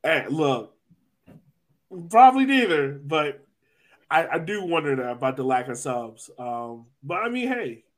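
A man's voice: fairly high pitch, big pitch swings, a little slow. A man hosting a soccer podcast, chatting casually about the sport.

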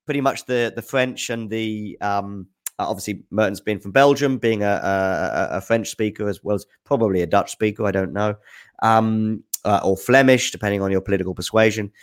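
A man's voice: fairly low pitch, normal speed. A man hosting a tennis news show, answering viewer questions in casual, off-the-cuff spoken commentary, thinking aloud with enthusiasm.

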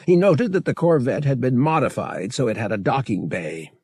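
A man's voice: medium pitch, lively intonation, moderate pace, darkish tone, breathy edge, dry recording, studio quality. A man reading an adventure novel as an audiobook narrator, in a dramatic scripted style.

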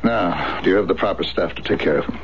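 Serious doctor man acting, lowish formal voice, old radio play, bit muffled. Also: quick pace, close to an everyday voice. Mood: grave, warning. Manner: formal, firm. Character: grave, cautious male psychiatric doctor urging care. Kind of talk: 1970s radio drama acting. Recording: faintly noisy, dull 1970s radio broadcast.

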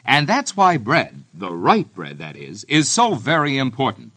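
A man delivers a vintage American radio advertisement. His voice is bright and animated, with emphatic, deliberate phrasing. The sound is very dry. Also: medium pitch, breathy edge, no room sound. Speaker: commercial announcer. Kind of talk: 1940s-50s radio advertising read.

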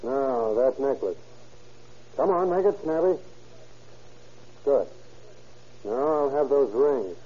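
A hard-boiled hoodlum in a vintage radio crime drama. The voice is husky and mid-pitched, with curt, demanding gangster slang. The recording is old and muffled. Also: male voice, animated, takes it slowly, long pauses, mellow. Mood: menacing. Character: tough, curt hoodlum gunman, adult man. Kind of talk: 1930s-40s radio crime drama acting.

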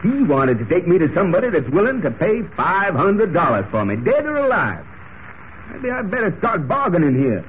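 A man's voice: high voice, big pitch swings, normal speed. A man playing a folksy, talkative cowboy in an old radio serial, with a drawling American Western accent. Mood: cheeky. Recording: muffled old radio recording with hiss.

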